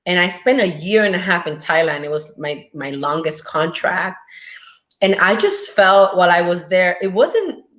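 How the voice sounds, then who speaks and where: Lively laughing woman guest on a call. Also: on the low side, moderate pace. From Dominican Republic. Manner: enthusiastic, laughs often, fills with "like". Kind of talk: casual interview chat. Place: home room, on a video call. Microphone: wired earbuds with mic.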